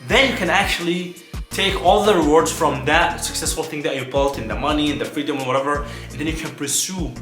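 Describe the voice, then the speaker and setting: A man's voice: a little high, brisk. A man from Iraq talking casually and emphatically to camera in an informal motivational vlog, repeating points and sometimes swearing. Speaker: vlogger. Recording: roomy echo, music behind.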